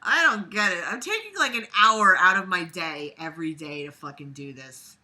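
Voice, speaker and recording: A woman's voice: fairly low pitch, animated, moderate pace, pushed and bright. A woman reading aloud theatrically and breaking off into exasperated, sweary, sarcastic asides, with an exaggerated delivery. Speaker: online reader reacting to a book.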